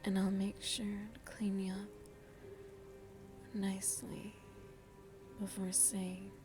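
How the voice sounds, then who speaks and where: A woman voicing a seductive, motherly vampire hostess in an ASMR roleplay, breathy and soft, with a tender, teasing manner. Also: medium pitch, flat delivery, slow pace, over music, natural voice. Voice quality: breathy, whispery.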